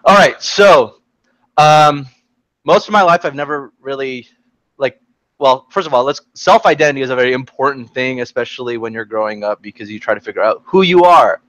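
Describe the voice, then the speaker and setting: A young man with an American accent talks casually in a group discussion. His delivery is halting as he thinks aloud. The sound is dry and close, from a computer mic on an online call. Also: medium pitch, fairly bright tone, no room sound, poor audio. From Texas, United States. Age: young adult. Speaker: youth panel participant. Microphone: computer microphone on an online call.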